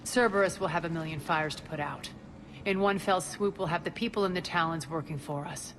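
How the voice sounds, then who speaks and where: A woman playing a ruthless, imperious crime boss in a video game, commanding, sardonic and deliberate. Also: on the low side, fairly bright tone, lightly acted. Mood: scheming, confident. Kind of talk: dramatic video game voice acting.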